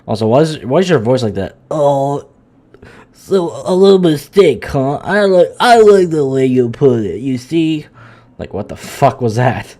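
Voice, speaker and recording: A man live streaming a video game, reading lines aloud and commenting casually in a halting way. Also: medium pitch, expressive, warm tone. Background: faint game music.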